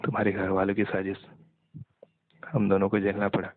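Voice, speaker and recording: A young man in an intimate phone conversation, talking in a halting, subdued, resigned way. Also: low-pitched, monotone. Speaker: caller talking with an ex-partner. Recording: muffled narrow-band phone call, echoey.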